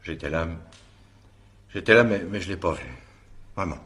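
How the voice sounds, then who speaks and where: An actor playing a defensive, cornered suspect in a radio drama, halting and protesting. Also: male voice, low-pitched, fairly bright tone. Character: defensive, cornered male suspect shielding a woman. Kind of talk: French radio drama acting.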